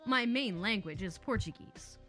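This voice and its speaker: A woman reading online stories aloud who breaks into playful, chatty asides. Her voice is bright and fairly high, with a lively, swinging pitch. Faint music plays underneath. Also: normal speed. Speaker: story narrator.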